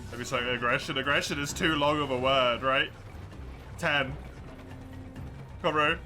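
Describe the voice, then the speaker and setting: A man's voice: mid-range voice, normal speed, sharp tone. An English man chatting casually with his live-stream viewers, laughing often, mocking himself and swearing easily. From England. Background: music.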